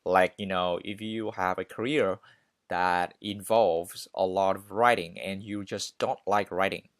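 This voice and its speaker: Low-pitched man giving casual advice. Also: fairly even intonation, unhurried, no room sound, clean studio sound. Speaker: podcast host. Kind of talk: casual advice monologue to listeners.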